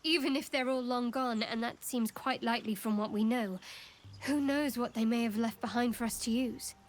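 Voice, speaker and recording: A woman playing a devout young cleric of a dark goddess in a video game, earnest, formal and guarded. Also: a little high, moderate pace, natural voice. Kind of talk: video game dramatic dialogue.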